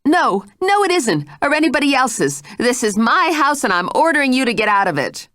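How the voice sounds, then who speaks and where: A woman's voice: sits high, big pitch swings, measured pace, fairly bright tone, no room sound, clean studio sound, voice pushed up, heavily acted. A woman voicing a hysterical, frightened middle-aged woman who shrieks and panics. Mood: shrieking.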